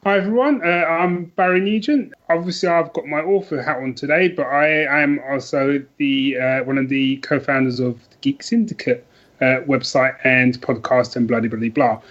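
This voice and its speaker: A man's voice: medium pitch, steady tone, moderate pace, a bit bright, lo-fi sound. A man chatting casually as an interview guest, self-deprecating and prone to rambling asides. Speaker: podcast guest, author.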